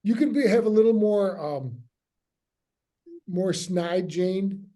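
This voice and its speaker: Male director at a table read, higher-pitched but very soft-edged, casual, halting delivery. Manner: hesitant, halting, trails off mid-sentence. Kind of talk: casual group discussion, giving notes.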